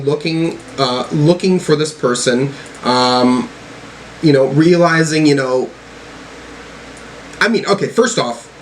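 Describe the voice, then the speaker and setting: A man's voice: mid-range voice, rough recording. Rambling man reviewing music, audible background noise. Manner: rambling, profane, frequently checking with "right?" and "you know". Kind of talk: casual album reaction commentary.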